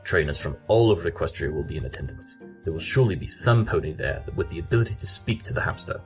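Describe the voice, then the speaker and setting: A man's voice: deep voice, animated, quick pace, faint music behind. A man playing a brilliant, tactless pony detective in an audio drama, clipped and pompous. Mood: brisk, confident.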